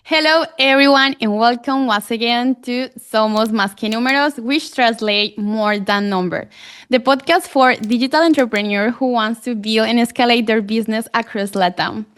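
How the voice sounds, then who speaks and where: A woman's voice: on the high side, normal speed, a bit bright, clean tone. A Colombian woman whose first language is Spanish, hosting a podcast interview in English with eager delivery, small slips and fillers. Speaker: podcast host.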